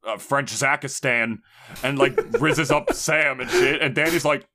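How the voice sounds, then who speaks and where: A young man co-hosting a podcast, talking in a blunt, casual way with lots of swearing and quick asides. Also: mid-range voice, sharp tone. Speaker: podcast co-host. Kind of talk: casual chat.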